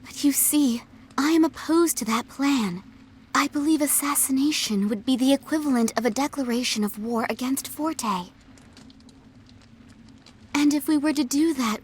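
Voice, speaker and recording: An actress playing a gentle, principled young princess in a video game cutscene, speaking formally and earnestly. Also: female voice, sits high, normal speed, slight background noise, close to an everyday voice.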